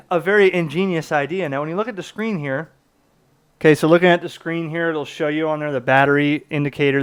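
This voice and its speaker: A man's voice: fairly high pitch, clear, resonant tone. A man presenting a casual gadget demonstration, explaining things conversationally and often checking in with the listener. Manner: conversational, explanatory, poses questions to himself and checks in with "okay?". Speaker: product reviewer and presenter.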